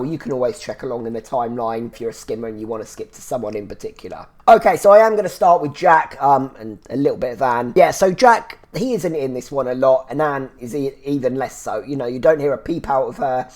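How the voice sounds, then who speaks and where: A man hosts a casual solo review show. He sounds a little congested and phlegmy, and he talks in a chatty, rambling way with lots of "so yeah" restarts. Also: mid-range voice. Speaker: podcast host reviewing a TV series.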